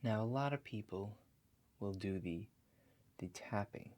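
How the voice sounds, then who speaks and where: A man playing a calm, attentive relaxation agent in a soft-spoken ASMR roleplay, talking slowly and gently, with reassuring instructions. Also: on the low side, slight background noise, close to an everyday voice. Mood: calmly explaining. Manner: slow, gentle, reassuring, instructive, often checking in. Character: calm, attentive male house-call relaxation agent guiding breathing.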